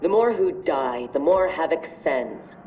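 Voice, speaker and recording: A woman's voice: sits low, expressive, measured pace, mellow, distant mic, a little hiss or hum. An actress playing a stern, pragmatic armored woman fighter in a video game, terse and unsentimental. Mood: cool defiance. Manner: terse, matter-of-fact. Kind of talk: video game cutscene acting.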